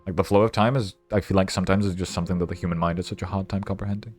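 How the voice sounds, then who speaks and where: A man's voice: deep voice, fairly even intonation, very fast, a little mellow, faint music behind. A man in his twenties chatting casually on a live stream, rambling and swearing freely as he reads his viewers' messages and reminisces. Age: 20s. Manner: rambling, casually profane, stumbles over words.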